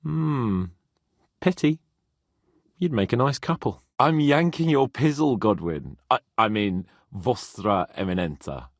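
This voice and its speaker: A cocky young medieval lord for a video game, proud and quick to mock. His pitch swings hugely from phrase to phrase, and the voice has a slightly husky edge. It sounds close and dry. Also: dry recording, full character voice. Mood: teasing. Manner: cocky, sardonic, swearing, expressive. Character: brash, teasing young medieval nobleman, proud and hot-headed. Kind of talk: video game voice acting, dramatic dialogue.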